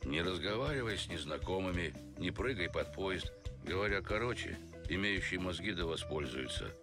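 Cartoon talking bear, very low voice, stern boss, terse, dry commands, music behind. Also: male voice, lively intonation, normal speed. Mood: dry, instructing. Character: gruff talking bear, stern courier boss, adult male. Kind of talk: animated noir film acting.

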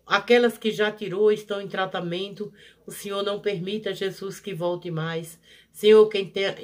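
A prayer leader, leading a fervent healing prayer in a rhythmic, repetitive, pleading cadence, swelling loud on each plea and dropping soft on each invocation. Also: medium pitch. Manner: pleading, rising loud on pleas and falling soft on each "Jesus".

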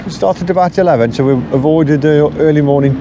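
A middle-aged man from England talking casually to the camera while fishing from a riverbank, thinking aloud in a relaxed, unhurried way. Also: a little high. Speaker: angler filming his own channel. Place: riverbank outdoors on a windy day. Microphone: camera mic placed behind him. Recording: outdoor camera audio with wind noise.

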